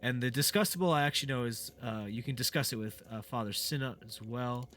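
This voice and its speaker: A man who is sick and a bit raspy, hosting a game playthrough in casual, rambling commentary with many fillers and restarts. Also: mid-range voice, brisk, fairly bright tone, slight background noise. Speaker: gaming channel host. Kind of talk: casual let's play commentary.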